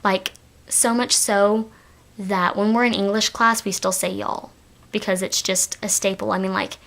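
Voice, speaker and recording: Teen girl, light Texan accent, mid-pitched voice, chatty, rambling, lots of ums. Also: steady tone, measured pace, vocal fry, fairly bright tone. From Texas, United States. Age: teens. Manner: chatty, rambling, many fillers and restarts. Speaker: vlogger. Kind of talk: casual talk to camera.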